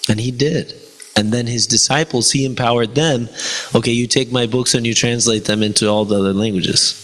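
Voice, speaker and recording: A man's voice: medium pitch, fairly even intonation, fairly quick, fairly bright tone. A man whose first language is Spanish, giving an informal devotional class in a chatty way, with rhetorical questions to his listeners. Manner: conversational, many fillers and rhetorical "right?". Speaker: religious class teacher.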